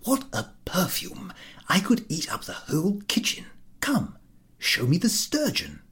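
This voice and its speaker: A man voicing Ahiniv, a nervous middle-aged host who frets about gossip, in breathy anxious whispers that squeak up high when upset. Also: wildly swooping pitch, measured pace, fairly bright tone, exaggerated voice. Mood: eager.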